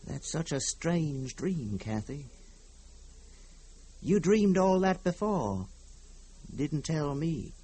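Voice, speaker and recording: An actor playing a brooding, uneasy grown son in an old-time radio drama, hushed and halting, on a noisy old recording. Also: male voice, on the high side, sing-song, slightly rough, exaggerated voice.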